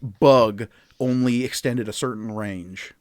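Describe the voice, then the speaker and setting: A man's voice: medium pitch, takes it slowly, noisy background. A man acting as game master, conversationally narrating vivid scenes and refereeing a tabletop game.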